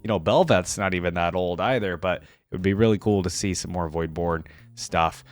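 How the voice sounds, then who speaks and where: A man's voice: deep voice, moderate pace. A young man in a casual, informal reaction-video style, commenting and reading text aloud on a close microphone. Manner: informal, slangy.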